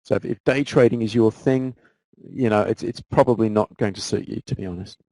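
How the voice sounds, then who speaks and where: A man's voice: fairly low pitch, a little fast, a little mellow, dry recording, rough recording. A man with an Australian accent, a guest trader answering viewer questions in a casual live Q&A, often repeating words as he restarts sentences.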